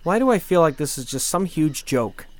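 A man's voice: a little high, very animated, moderate pace. An actor playing a skeptical, curious young male student in an audio drama. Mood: doubtful.

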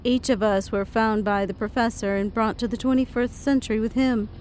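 A woman's voice: fairly high pitch, steady tone, fairly quick, a little mellow, slight background noise, close to an everyday voice. A woman playing a thoughtful, encouraging literary lady from the past in an audio drama.

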